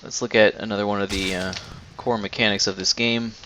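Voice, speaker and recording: A man's voice: lowish voice, little pitch movement, brisk, pushed and bright, a little hiss or hum. A young man giving casual, sarcastic gameplay commentary, with some swearing. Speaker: let's play host.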